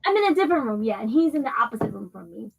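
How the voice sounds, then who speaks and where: Chatty, high-voiced young woman. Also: very animated, rapid-fire, gravelly, husky. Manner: chatty, giggly, quotes herself in little acted asides. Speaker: tabletop game player. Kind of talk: casual, playful chat.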